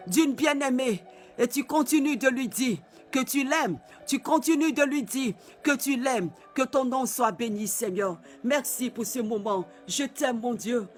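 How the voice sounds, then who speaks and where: A man leading a fervent spoken prayer, repeating phrases and often calling out loudly, with soft music behind him. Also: extremely high pitch, lively intonation, unhurried. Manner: fervent, repetitive, often shouted. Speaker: pastor. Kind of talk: prayer and worship exhortation.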